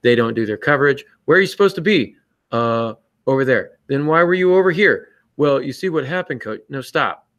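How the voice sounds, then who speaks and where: A man's voice: medium pitch, expressive, fairly quick, a bit bright, no echo. A man co-hosting a podcast, speaking casually but emphatically and bluntly, acting out little exchanges as he gives advice. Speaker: podcast co-host and writer.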